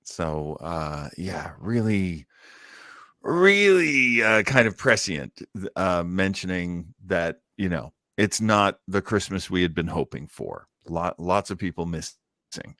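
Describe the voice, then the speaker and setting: Male host, bright forceful voice on the low side, casual, halting, thinking aloud. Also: expressive, no echo, studio quality. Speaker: show host. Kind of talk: casual commentary on old radio show.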